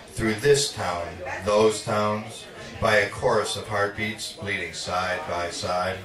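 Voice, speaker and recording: Man, live poetry reading, unhurried dramatic spoken word, roomy echo, audience sounds behind. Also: on the low side. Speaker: poet. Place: indoor literary cafe reading. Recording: echoey, distant live recording with audience.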